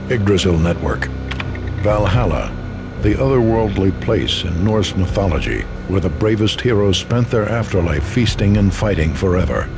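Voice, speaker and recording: An actor voicing the reading of a secret military file, flat and clipped. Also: male voice, on the low side. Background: game music.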